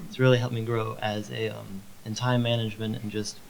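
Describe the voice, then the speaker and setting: A man's voice: on the low side, little pitch movement, a little fast, vocal fry, slight background noise, low-quality recording. A young man, a student on a panel, talking eagerly and repeating himself. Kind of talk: panel talk.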